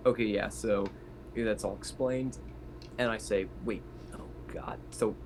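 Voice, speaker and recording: Male roleplayer, fairly low voice, loose conversational improvising, light background noise. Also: steady tone, unhurried. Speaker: tabletop roleplay player. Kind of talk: casual improvised roleplay chat.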